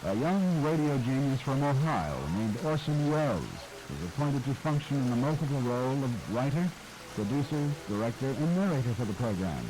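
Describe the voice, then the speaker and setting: Articulate male narrator with lively pitch. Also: noisy background. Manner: measured, articulate storyteller. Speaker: documentary narrator. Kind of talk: scripted narration.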